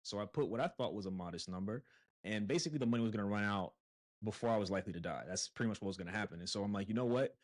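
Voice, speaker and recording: A man in his thirties, talking as a podcast guest in a low voice. He tells his story in a loose, conversational way, stumbling over a few words and using fillers as he goes. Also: very fast. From Brooklyn, New York. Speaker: podcast guest, real estate investor. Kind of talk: casual interview chat.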